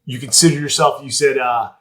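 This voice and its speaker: A man's voice: mid-range voice, steady tone, a little fast, fairly bright tone. A man hosting a podcast interview in a laid-back, casual way, dropping words like "dude" and "man". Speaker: podcast host.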